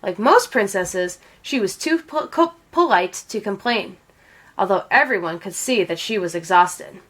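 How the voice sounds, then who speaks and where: A woman reading a children's storybook aloud as an expressive narrator. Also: mid-range voice, a little slow. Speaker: storybook reader.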